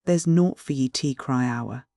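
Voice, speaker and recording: A man voicing a rough, earnest shepherd with a husky Northern English dialect, blunt and stubborn. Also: fairly high pitch, moderate pace, darkish tone, no echo, studio quality, close to an everyday voice. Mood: gentle. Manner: blunt, pleading, dialect-heavy.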